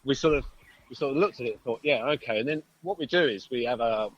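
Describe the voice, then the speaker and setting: A man speaking as a musician guest in a casual interview, explaining things in a rambling, halting way with many false starts. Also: mid-range voice, lo-fi sound. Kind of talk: casual chat.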